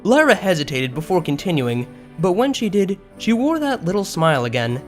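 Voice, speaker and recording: Animated higher-pitched man narrating a story. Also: moderate pace. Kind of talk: scripted audiobook-style story narration. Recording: music behind the voice.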